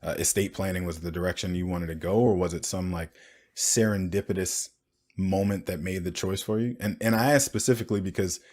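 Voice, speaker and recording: A man hosting a podcast, reading a polished introduction and then chatting with a guest, measured and smooth with small stumbles when he speaks off the cuff. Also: deep voice, fairly even intonation, a little mellow. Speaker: podcast host. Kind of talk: scripted intro then conversational interview.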